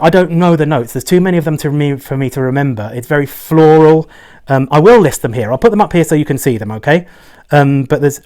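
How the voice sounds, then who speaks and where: A man talking casually on a fragrance review vlog, rambling along and often checking in with a quick "right?". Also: on the high side, darkish tone.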